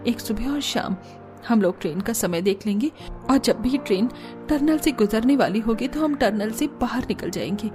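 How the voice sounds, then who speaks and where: Casting: actress as anxious young village wife for a cartoon, high, mellow, earnest. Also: female voice, lightly acted. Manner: earnest, plaintive. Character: distressed young village daughters-in-law, pleading and worried. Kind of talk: cartoon drama acting.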